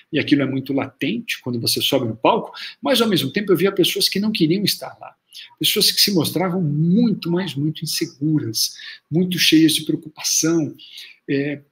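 A Brazilian man in his forties gives an online public speaking lesson from a small room at home, with a Brazilian Portuguese accent. His voice sits a little high, and he hammers his points home by saying them twice. The sound is webcam-close and plain. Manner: emphatic, repeats key phrases for stress.

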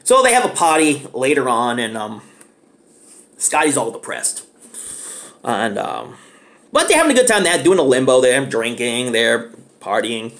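Rambling, excitable guy in a small room. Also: mid-range voice, measured pace, long pauses. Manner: rambling, enthusiastic, lots of fillers. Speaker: movie reviewer. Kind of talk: casual spoken movie review. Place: small home living room, indoors.

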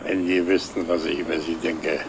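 Casting: muttering grump, deep gravelly voice, noisy vintage drama soundtrack. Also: male voice, flat delivery, normal speed. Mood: grim, tense. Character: grim, muttering man dreading a formal occasion. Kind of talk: scripted television drama dialogue.

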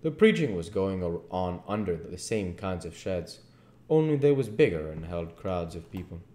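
A man in his thirties narrates a story in a low voice. He reads audiobook style at home, and the room adds clear echo. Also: sing-song, normal speed, mellow. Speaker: narrator reading a novel aloud. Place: living room at home, indoors. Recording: echoey room.

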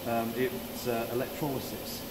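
A middle-aged man walks a visitor through a factory, explaining each step in a measured, technical way with frequent fillers. His voice echoes around a large hall and sounds distant from the camera. Loud machine noise hums behind him. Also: mid-range voice, level tone. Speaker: mast factory manager. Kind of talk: explaining a manufacturing process. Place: large factory floor, indoors. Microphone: camera mic at a distance.

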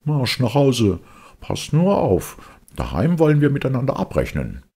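Old storybook father, played by a male reader: exasperated, emphatic, gravelly, raised pitch. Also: wildly swooping pitch, a little slow, warm tone, heavily acted. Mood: angry scolding. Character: gruff old woodcarver father, exasperated, scolding.

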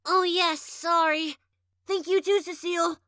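Casting: woman as eager kid monster for cartoon dub, extremely high, bright, unhurried, expressive. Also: no room sound, clean studio sound, heavily acted. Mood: subdued. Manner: expressive, exclamatory. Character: young monster kid, earnest, eager, playful. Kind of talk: comic dub voice acting.

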